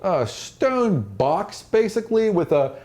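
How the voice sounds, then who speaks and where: A man's voice: high-pitched, big pitch swings, slow pace, warm tone. A man narrating an improvised fantasy tabletop game as game master, descriptive and conversational, often repeating phrases as he explains.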